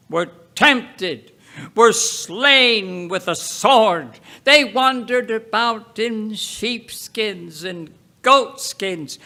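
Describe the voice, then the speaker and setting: A man reading scripture aloud in a solemn, dramatic style, deliberate and emphatic, breaking the text into short phrases with pauses. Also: high-pitched, pushed and bright. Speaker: Bible reader.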